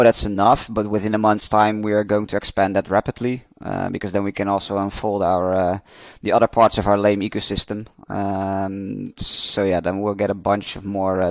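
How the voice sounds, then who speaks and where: A man speaking over a video call in a gravelly, flat, low voice. He answers in a measured way with some fillers, and his voice sounds dry and close, with no room reverb. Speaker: NFT project founder. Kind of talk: casual interview answers. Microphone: remote video call.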